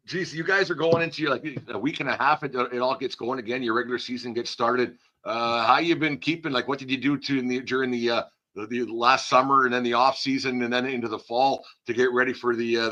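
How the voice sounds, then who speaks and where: A man's voice: mid-range voice, fairly even intonation, fairly quick, sharp tone, rough recording. A man hosting a casual sports interview in a folksy, rambling way, repeating words as he thinks. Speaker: podcast host, interviewer.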